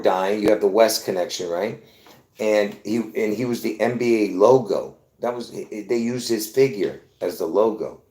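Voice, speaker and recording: A man's voice: on the low side, dark tone. Rambling insistent man in an echoey room. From Lebanon. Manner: insistent, repetitive, with many restarts. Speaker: conspiracy video host. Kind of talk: rambling live monologue.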